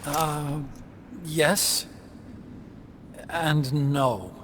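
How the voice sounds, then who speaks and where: An actor playing an earnest, talkative male weapons scientist in a video game, explaining things in an emphatic, measured way. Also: fairly high pitch, fairly even intonation, fairly bright tone, a little hiss or hum. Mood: grave, explanatory. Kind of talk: video game cutscene acting. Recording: dramatic music behind the voice.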